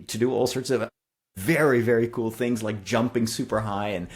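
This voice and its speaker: A man's voice: lowish voice, steady tone, moderate pace. Effusive man co-hosting a book podcast. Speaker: co-host and writer. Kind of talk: enthusiastic book discussion podcast.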